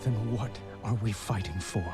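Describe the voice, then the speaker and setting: A man's voice: lowish voice, moderate pace, close to an everyday voice. An actor playing an earnest, solemn young human king in a dramatic game cinematic. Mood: grave, earnest. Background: cinematic music.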